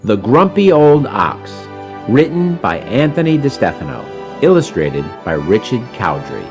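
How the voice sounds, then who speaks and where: A man reading his own rhyming children's story in an expressive, dramatic way. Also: mid-range voice, measured pace, music in the background.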